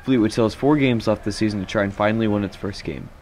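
A man's voice: lowish voice, quick pace, slight background noise. Teen boy reading a hockey report. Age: teens. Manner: steady, scripted reading. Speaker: student sports reporter. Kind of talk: scripted sports report.

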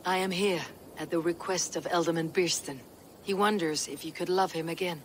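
Actress as viking warrior lady, low voice, stoic n commanding, game cutscene, noisy outdoor background. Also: steady tone, close to an everyday voice. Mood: calm, direct. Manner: measured, solemn, authoritative. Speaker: game protagonist. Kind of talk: video game cutscene acting. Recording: outdoor ambient noise behind.

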